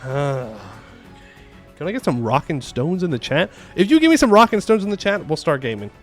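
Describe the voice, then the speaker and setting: A man hosts a gaming livestream in a fairly high voice that moves up and down with great animation. He jokes casually, repeats himself for effect and replies to viewers as he goes. Faint music plays behind him. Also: sing-song, moderate pace. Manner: playful, joking, repeats phrases. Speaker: game streamer. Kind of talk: casual livestream chat, reading and answering viewer comments.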